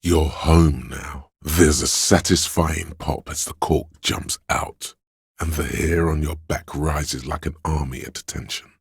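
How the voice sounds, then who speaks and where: A man playing a seductive inner voice of addiction in a video game, narrating dramatically in a gravelly, savoring, coaxing way. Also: steady tone, a little slow, no echo, clean studio sound, heavily acted. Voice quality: gravelly, husky bass.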